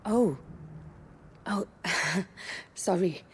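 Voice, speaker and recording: Very husky, languid young courtesan. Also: female voice, on the low side, drawn-out words, full character voice. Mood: frank. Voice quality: husky, breathy. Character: teasing, worldly young courtesan woman. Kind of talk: dramatic video game voice acting.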